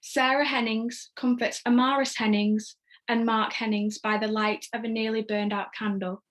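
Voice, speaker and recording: A woman's voice: on the high side, level tone, moderate pace, a bit bright, rough recording. A woman giving scripted narration, reading out screenplay stage directions. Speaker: stage-direction reader and host.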